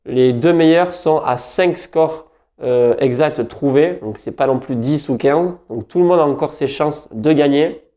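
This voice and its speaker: A man's voice: fairly high pitch, a little slow, warm tone, highs cut off. A French man giving football betting tips in a casual, rambling talk, often correcting himself. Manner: chatty, rambling, self-correcting. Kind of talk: casual solo talk to camera.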